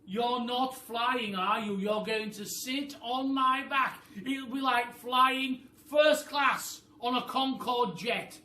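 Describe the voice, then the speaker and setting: A man voicing a clever, boastful and reassuring big bird in a children's story, with an emphatic, drawn-out delivery. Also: very high voice, sharp tone, voice pushed up, heavily acted. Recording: roomy, noisy, distant microphone.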